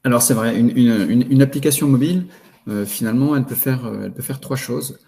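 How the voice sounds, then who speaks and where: Gravelly, mellow-voiced man explaining in a webinar. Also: mid-range voice. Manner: halting, didactic, enumerates points. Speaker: webinar presenter.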